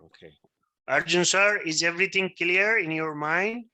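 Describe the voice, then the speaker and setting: Man, first language Maithili, teaching a class; fairly high, bright tone, keeps asking if he is right. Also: normal speed. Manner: keeps checking understanding, asks if he is right. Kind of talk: interactive class lecture.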